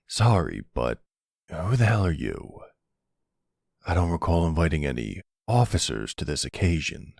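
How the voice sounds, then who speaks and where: A man playing a middle-aged mafia boss and devoted stepfather in an intimate roleplay audio drama, with a husky, gravelly voice and an affectionate, wry way of talking. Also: low-pitched, very animated, takes it slowly, long pauses, dry recording, studio quality, heavily acted. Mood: indignant.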